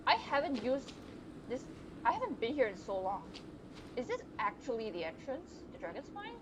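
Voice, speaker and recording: A woman's voice: a little high, very animated, normal speed, noisy background. A woman giving casual live game commentary as a streamer, chattily reacting aloud to the game and her chat. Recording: game music behind the voice. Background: game music.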